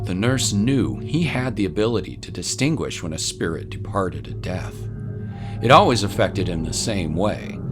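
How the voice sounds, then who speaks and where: A man reading scripted story narration as the narrator of a tale. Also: on the low side. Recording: voice over prominent music bed. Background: music.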